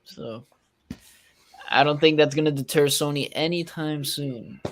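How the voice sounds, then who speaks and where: A man's voice: on the high side, unhurried, a little hiss or hum. A man talking casually and informatively as a podcast host.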